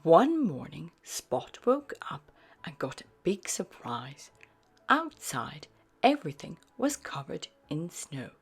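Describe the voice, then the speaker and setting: A woman narrating a children's picture book aloud in a slow, soft, very expressive way. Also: deep voice, husky, soft background music. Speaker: storyteller.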